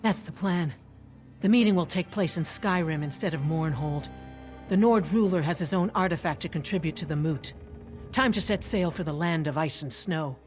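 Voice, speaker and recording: A woman plays an aging lizardfolk heroine of a fantasy game. The voice sits on the low side, chatty and wry with a self-deprecating turn, over faint music. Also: normal speed, a little mellow, close to an everyday voice. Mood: brisk.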